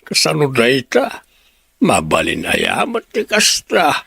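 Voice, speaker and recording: A man's voice: medium pitch, wildly swooping pitch, takes it slowly, vocal fry, sharp tone, breathy edge, no echo, exaggerated voice. A male actor playing a blunt, unapologetic man in an audio drama, with a Filipino Ilocano accent. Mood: curious.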